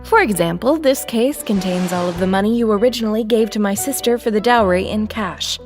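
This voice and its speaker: A woman playing a cool, shrewd deputy mayor in an audio drama, speaking with crisp, sardonic authority. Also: mid-range voice, brisk, faint music behind, natural voice. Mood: cool, formal.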